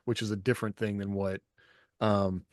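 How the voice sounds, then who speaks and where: A man's voice: fairly low pitch, level tone, moderate pace, no room sound, studio quality. A man co-hosting a podcast in casual discussion, agreeing and building on what the others say. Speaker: podcast co-host.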